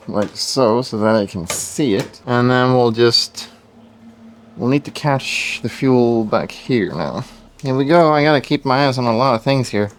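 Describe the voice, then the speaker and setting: A man's voice: mid-range voice, a little hiss or hum. Swedish man working in his garage. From Sweden. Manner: talks to viewers while working, thinking aloud. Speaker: car project vlogger. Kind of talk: casual garage work commentary. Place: home garage.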